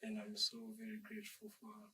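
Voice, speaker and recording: A young man from Zambia, a dancer being interviewed, speaking in a measured, reflective and polite way. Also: sits high, monotone, bright, forceful tone, husky, distant mic, poor audio. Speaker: dancer guest. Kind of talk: interview conversation.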